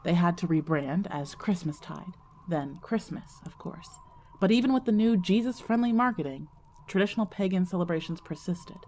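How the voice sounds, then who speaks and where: A woman with a lowish, soft-edged, warm voice acts as a wry narrator of an audio drama, recalling her past. She speaks deliberately and conversationally, with dry asides, over clearly audible background noise. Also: close to an everyday voice. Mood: wry amusement. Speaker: narrator of a fiction podcast.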